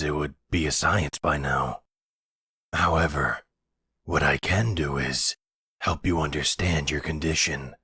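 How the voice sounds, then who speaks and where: A man playing a very deep, husky werewolf elder. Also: bright, forceful tone, no room sound, studio quality, full character voice. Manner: measured, formal, soothing guide. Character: calm, fatherly werewolf pack leader and researcher. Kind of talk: roleplay audio drama.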